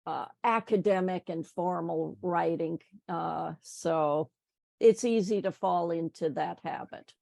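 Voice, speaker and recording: A woman, a book co-author, talking slowly in casual conversation. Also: fairly low pitch, gravelly, mellow, no room sound. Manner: slow, with frequent affirming "yeah" and "right". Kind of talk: conversational talk.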